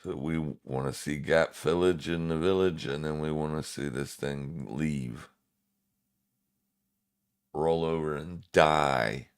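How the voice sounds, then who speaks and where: A man with a very deep smoker's voice hosts a live trading stream. His delivery is slow and smooth, with clean resonance. He comments casually in a laid-back, slangy way, speaking straight to his viewers. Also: steady tone.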